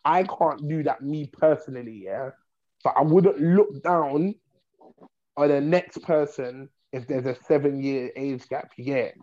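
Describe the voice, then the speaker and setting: A young man, arguing in a slangy, animated way in casual chat, repeating points to make them stick. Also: mid-range voice, measured pace, highs cut off.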